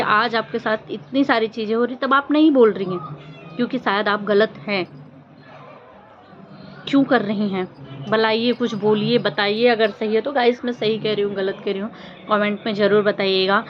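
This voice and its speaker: A woman giving informal opinion commentary to her viewers, speaking in an earnest, reproachful, emphatic manner with rhetorical questions. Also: fairly high pitch. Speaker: vlogger commenting on social media controversy. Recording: muffled lo-fi audio with faint noise.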